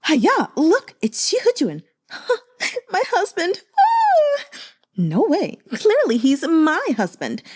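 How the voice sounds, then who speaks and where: A woman voicing giddy, swooning young maidens in an audiobook, with excited, breathy squeals. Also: very high voice, slow pace, long pauses, voice pushed up, exaggerated voice. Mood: giddy, smitten.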